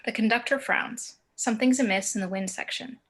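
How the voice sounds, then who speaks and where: A woman reading screenplay action descriptions as narrator in a table read over a video call, in deliberate short phrases. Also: a little high, breathy edge. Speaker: stage-direction narrator.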